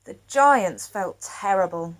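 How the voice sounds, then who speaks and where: A woman storyteller reading a children's picture book aloud expressively, with dramatic pauses. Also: mid-range voice, lively intonation.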